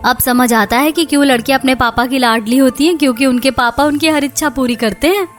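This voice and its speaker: A pampered, adoring young daughter in a cartoon story, played by a woman. Her high voice pleads and gushes like a child's, over background music. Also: fairly quick, lightly acted. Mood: delighted.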